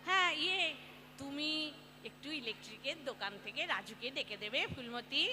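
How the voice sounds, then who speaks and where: A woman's voice: fairly high pitch, expressive, moderate pace, lightly acted. A woman acting a flustered, exasperated middle-aged housewife in a play reading, theatrical and prone to evasive stammering. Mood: evasive, impatient.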